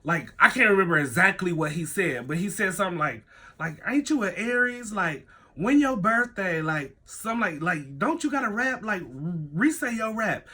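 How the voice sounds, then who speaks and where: A young man with a stuffy nose, telling a personal story in a casual vlog, animated, full of slang and "like", swearing freely. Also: normal speed, slightly rough. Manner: animated, acts out his own thoughts in a higher voice.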